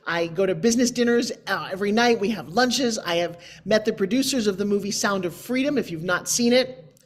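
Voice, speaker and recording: Emphatic middle-aged woman hosting a show. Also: medium pitch. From New York. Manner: emphatic, theatrical, conversational asides. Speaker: show host, motivational speaker. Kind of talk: chatty, informal show introduction and interview. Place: indoor room, on a video call. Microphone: video call microphone.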